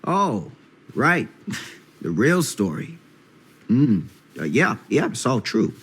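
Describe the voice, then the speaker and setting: A middle-aged actor playing a gruff small-town father with dry humor in a video game cutscene. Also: mid-range voice, huge pitch swings, takes it slowly, fairly bright tone, exaggerated voice. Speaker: father of a teen.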